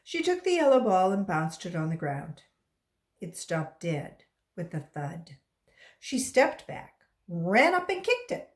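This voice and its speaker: A woman reading a storybook aloud to children as a volunteer, in an expressive narrating style. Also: lowish voice, slow pace.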